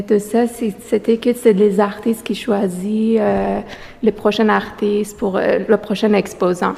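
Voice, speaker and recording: Hesitant gravelly-voiced woman, non-native. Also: medium pitch, mellow. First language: not French. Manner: hesitant, many fillers and restarts. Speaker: exhibiting artist. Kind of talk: interview answers. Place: art gallery. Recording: long reverb of a gallery room.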